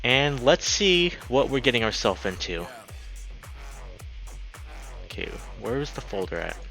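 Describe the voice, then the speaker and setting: A man hosting a game livestream, chatting casually and excitably with his viewers. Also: medium pitch, a little slow, vocal fry, faint music behind. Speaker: game streamer and host. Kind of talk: casual livestream chat while playing a visual novel.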